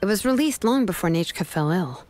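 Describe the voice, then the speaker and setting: A woman's voice: medium pitch, lively intonation, fairly quick, darkish tone, a little hiss or hum, close to an everyday voice. A woman voicing a casual, shrewd young woman in a video game, tactful and probing with some hesitation. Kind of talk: video game character acting.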